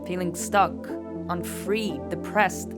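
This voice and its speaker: A young woman from Egypt narrating a scripted vlog voice-over in a sardonic, self-deprecating, deadpan way. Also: on the low side, slow pace. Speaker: vlogger. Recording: voice-over with background music.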